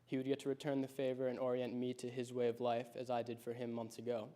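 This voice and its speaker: A teenage boy, a high school senior, reads out a prepared personal speech. He tells his story in a reflective, measured way, keeping his pitch fairly level. His voice sits on the mellow, darker side. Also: monotone. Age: late teens.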